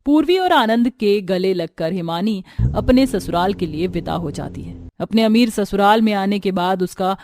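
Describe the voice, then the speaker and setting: A woman's voice: mid-range voice, animated, normal speed, hardly any pauses, crowd noise. A woman reading scripted narration of a family drama as a storyteller.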